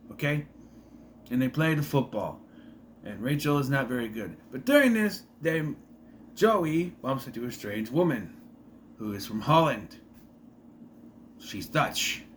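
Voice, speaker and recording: A man's voice: mid-range voice, fairly bright tone, a little hiss or hum. A man casually recapping a sitcom episode, rambling, correcting himself and briefly putting on the characters' voices. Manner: rambling, self-correcting, opinionated asides; briefly mimics characters while retelling. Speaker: show recap vlogger.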